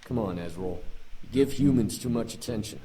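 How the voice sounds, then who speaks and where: An actor playing a cynical young demon prince in a visual novel, scoffing, blunt and impatient. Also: male voice, fairly low pitch, fairly quick, a crowd in the background, lightly acted, low-quality recording. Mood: scornful. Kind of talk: visual novel character acting.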